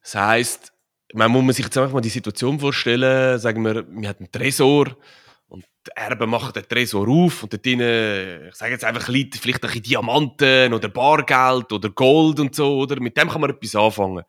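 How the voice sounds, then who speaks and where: A man's voice: medium pitch, a little fast, fairly bright tone. A man with a Swiss German accent, a podcast guest explaining things in a rambling, casual way with many fillers and tag questions. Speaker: podcast guest, author and crypto consultant. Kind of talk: casual conversational interview.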